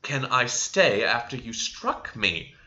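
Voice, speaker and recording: A man voicing a gentle, offended young gentleman suitor, earnest and soft-mannered, in a storybook reading. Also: mid-range voice, sing-song, slow pace, muffled recording, full character voice. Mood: wounded.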